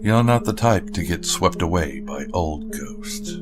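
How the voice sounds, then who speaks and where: A guarded, skeptical man with a very low, heavy voice and short, impatient questions, as a woman puts him on. Soft guitar music plays underneath. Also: expressive, measured pace.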